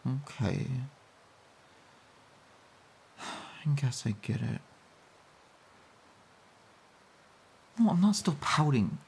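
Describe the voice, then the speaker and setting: Woman voicing a playful pouty girlfriend, romantic audio roleplay, mellow dark tone, breathy, slow, cooing, intimate. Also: a bass voice, sing-song, exaggerated voice. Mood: sulky, pouting. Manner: soft, giggly, hesitant, cooing. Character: playful, pouty, affectionate girlfriend snuggling in bed.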